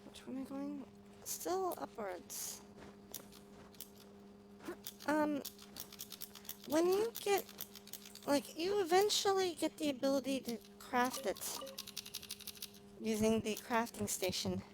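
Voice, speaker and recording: A woman's voice: high voice, expressive, slow, pauses often, noisy background. A woman streamer giving casual live gameplay commentary and chatting with viewers as she plays. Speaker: game streamer, VTuber. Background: game music.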